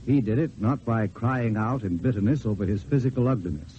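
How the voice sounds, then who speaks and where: A man giving an earnest, measured, scripted inspirational address on vintage radio. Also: fairly low pitch, dark tone. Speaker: spokesman delivering a church message. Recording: dull vintage radio recording, faint hiss.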